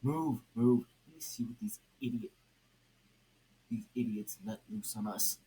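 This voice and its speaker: Man acting cynical male magician, sneering, grumbling, mellow dark tone, amateur audio story, light noise. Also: medium pitch, a little slow, close to an everyday voice. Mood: bleak, sardonic. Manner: sneering, sardonic. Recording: noisy amateur home recording.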